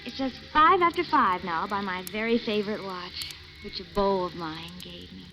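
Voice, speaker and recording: A woman's voice: medium pitch, expressive, normal speed, lightly acted. A woman playing a naive, eager young woman who talks in a gushing, breathless way, in a 1940s radio drama. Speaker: actress. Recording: dull lo-fi 1940s radio broadcast with hiss.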